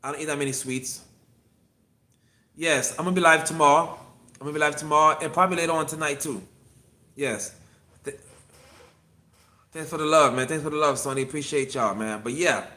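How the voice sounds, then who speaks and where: A man's voice: a little high, fairly even intonation, brisk, long pauses, pushed and bright. A man in his fifties, an acupuncture physician, talking casually on a solo livestream from his clinic, rambling and repeating himself as he answers viewers. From Miami. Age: 50s.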